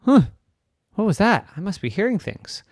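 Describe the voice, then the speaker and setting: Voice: medium pitch, big pitch swings, moderate pace, darkish tone, no echo, clean studio sound, voice pushed up. A storyteller voicing Caitlin, a sad and puzzled young female eel in a bedtime story, asking plaintive, wondering questions in a raised voice.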